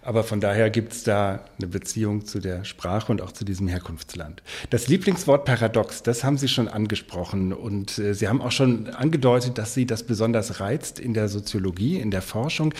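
A male radio host reading a scripted introduction, then interviewing a guest in measured tones. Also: fairly low pitch, darkish tone, breathy edge. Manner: measured, sentence prompts.

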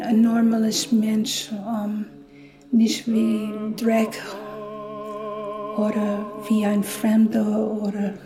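A woman with a foreign accent, haltingly searching for words as she reflects in an interview. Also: mid-range voice. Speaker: interviewee in a radio feature. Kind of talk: personal reflection. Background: music.

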